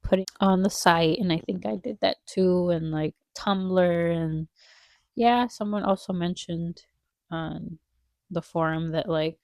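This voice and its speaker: A woman's voice: fairly low pitch, unhurried, vocal fry, a little mellow, no echo, studio quality. A young woman vlogger with a congested nose, chatting casually and wistfully while drawing, rambling with lots of fillers.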